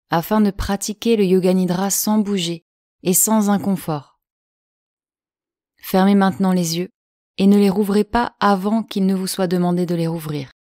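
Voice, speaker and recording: Calm, low-voiced woman guiding relaxation. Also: no echo, clean studio sound. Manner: slow, calm, soothing delivery with long pauses. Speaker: yoga nidra guide. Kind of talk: guided relaxation instruction.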